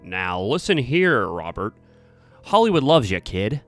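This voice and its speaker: A slick old-time Hollywood agent, played by a man telling a comic story, brash and patronizing. He schmoozes loudly in showbiz patter, a bit above a natural low register, over background music. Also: big pitch swings, unhurried, exaggerated voice.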